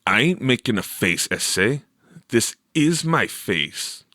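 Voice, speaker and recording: A man's voice: medium pitch, big pitch swings, takes it slowly, pushed and bright, no echo, studio quality, heavily acted. A man voicing a posturing seventh-grade boy in a storybook, with cocky, slangy talk. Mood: cocky, defensive.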